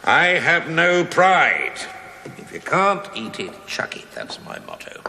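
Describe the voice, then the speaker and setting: An actor playing a middle-aged working stonemason in a television play, wry and grumbling, with mock-deferential, theatrical phrasing. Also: male voice, a little high, very animated, slow, gravelly, pushed and bright, heavily acted. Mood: wry deference. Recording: echoey hall, faint noise, 1980s TV recording.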